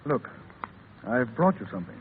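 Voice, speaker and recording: Guy acting smooth rich gentleman suitor, courtly, medium pitch, tinny 1930s radio drama. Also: very animated, normal speed, lightly acted. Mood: courtly, wooing. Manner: polished, courtly, formal. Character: wealthy, suave gentleman suitor, courteous and self-assured. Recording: tinny, faintly noisy 1930s radio broadcast.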